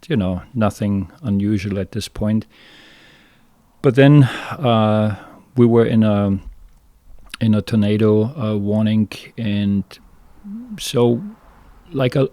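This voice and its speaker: A man from Germany with a southern Bavarian accent, a guest on a podcast telling his story in a halting way, with many fillers and restarts. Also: on the low side, dark tone, dry recording. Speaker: guest, fishing guide. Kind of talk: casual interview storytelling.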